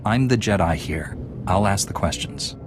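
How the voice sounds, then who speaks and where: A man playing a calm, principled heroic knight in a video game, speaking in a firm, measured way. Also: fairly low pitch, noisy background, close to an everyday voice. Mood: stern. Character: calm, principled male Jedi knight hero. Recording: music playing behind.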